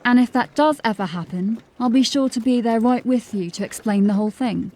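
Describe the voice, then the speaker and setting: A woman playing a diligent, drily witty police constable in a fantasy audio drama, articulate and measured. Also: a little high, darkish tone, close to an everyday voice. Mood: calm, reassuring.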